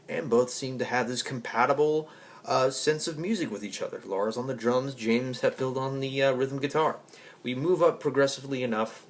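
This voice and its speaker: Man, video blog host, medium pitch, earnest, halting, repetitive phrasing, unscripted personal monologue. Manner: earnest, halting, repeats himself.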